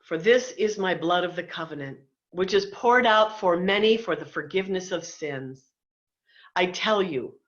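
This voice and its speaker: Lower-pitched woman reading scripture, dull audio. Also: fairly bright tone. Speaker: pastor. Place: indoors, on a video call. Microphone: video call microphone. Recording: dull lo-fi video call audio.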